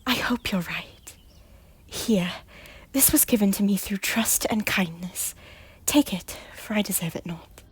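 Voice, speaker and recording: Woman playing a breathy, remorseful former queen. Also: medium pitch, a little slow, dry recording. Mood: pleading. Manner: pleading, often whispered, breathy. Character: guilt-ridden former Scottish queen, pleading and remorseful. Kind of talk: fan audio drama acting.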